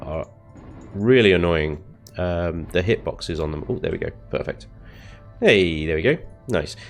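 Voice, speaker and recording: A man with a rough, hoarse voice from the flu, chatting casually as he shows off his base in a video game. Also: sits low. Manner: chatty, self-deprecating, frequent ums and chuckles. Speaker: gaming video host. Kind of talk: casual gameplay commentary.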